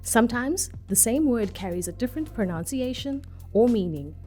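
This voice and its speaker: A woman reading scripted documentary narration in a measured, explanatory way. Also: a little high, animated, mellow, faint music behind.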